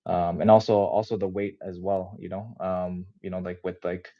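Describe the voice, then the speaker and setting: A man's voice: low voice, level tone, moderate pace. A man hosting a podcast interview, chatting casually and rambling with lots of fillers and restarts. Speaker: podcast host. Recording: dull muffled lo-fi audio.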